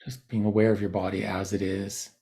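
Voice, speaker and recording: Low, gravelly man guiding meditation. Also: flat delivery, dark tone. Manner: soothing, unhurried, with long pauses between short phrases. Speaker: meditation guide. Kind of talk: guided body scan meditation, unscripted instruction.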